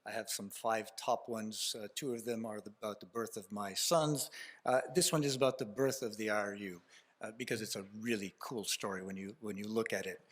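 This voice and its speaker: A man's voice: fairly low pitch, steady tone, brisk, fairly bright tone. A man with a Canadian accent giving a conference speech to an audience, telling a story informally with frequent fillers and restarts. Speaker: keynote speaker at a conference.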